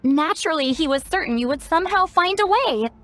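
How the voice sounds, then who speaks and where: A woman's voice: very high-pitched, expressive, brisk, a bit bright. A woman voicing a spry, youthful-sounding self-styled granny in a video game, playful, knowing and caring. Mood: concerned, thoughtful. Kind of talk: scripted video game dialogue. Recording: game music behind. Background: game music.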